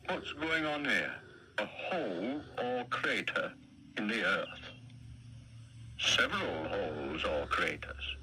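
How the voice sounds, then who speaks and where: A man's voice: fairly low pitch, very animated, slow, bright, forceful tone, slight background noise, exaggerated voice. A man voicing a pompous, officious village constable in a storybook reading.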